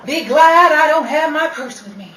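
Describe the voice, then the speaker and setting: A woman's voice: high-pitched, expressive, moderate pace. A woman playing a sassy, hard-drinking, wisecracking dame in a 1930s-style radio comedy. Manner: wisecracking, theatrical. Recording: roomy, distant-mic recording with faint noise.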